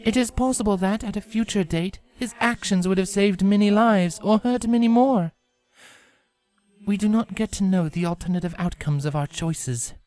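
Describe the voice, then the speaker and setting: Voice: medium pitch, darkish tone, faint music behind, pitched above the performer's own voice, natural voice. Narrator voicing a solemn, regal pony princess. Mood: solemn, measured. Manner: formal, measured, grave, archaic wording. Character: regal, solemn princess pony of dreams, archaic royal-we speech.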